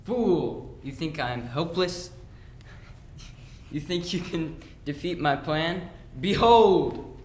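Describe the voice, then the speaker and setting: A man's voice: a little high, animated, slow pace, darkish tone. A teenage boy playing a scheming, scornful sorcerer's pupil in a school radio play, with grand, declamatory delivery. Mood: scornful, gloating. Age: young teenager. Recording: roomy echo, faint background noise.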